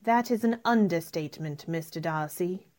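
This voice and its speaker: A woman playing a proud, witty young gentlewoman in a radio drama, cool and formal. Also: lowish voice, normal speed, lightly acted. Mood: cool disdain. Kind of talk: old-fashioned radio drama acting.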